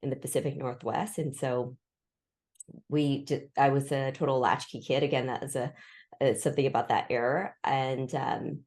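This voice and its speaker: A woman's voice: extremely low pitch, steady tone, normal speed, a little mellow. A woman in her forties, raised in the US, telling her life story as an interview guest with thoughtful restarts and fillers. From Vietnam, raised in the US. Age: 40s.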